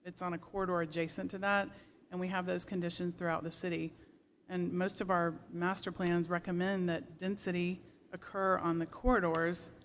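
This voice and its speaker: A planning staff member speaks at a public meeting in a measured, explanatory manner. The pitch is medium and stays quite flat. There is faint room noise around the voice. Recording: noisy meeting room ambience.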